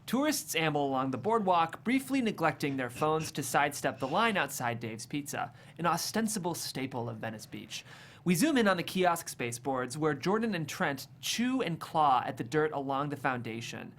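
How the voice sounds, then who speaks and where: A narrator reads script directions for a comedy table read. The medium-pitched voice is animated, with slight ambient noise behind it. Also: moderate pace.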